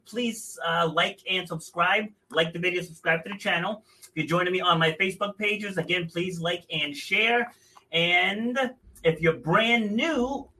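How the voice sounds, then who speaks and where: A male podcast host speaks in a bright, somewhat high voice, recorded from a little distance on a computer mic. He chats casually and stumbles into self-corrections that he laughs off. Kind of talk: casual video podcast interview.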